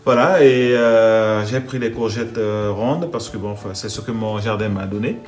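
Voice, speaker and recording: A man's voice: on the low side, normal speed. A man from the Netherlands with a Dutch accent, speaking French, talking through a home cooking video with many hesitations and restarts. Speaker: home cook.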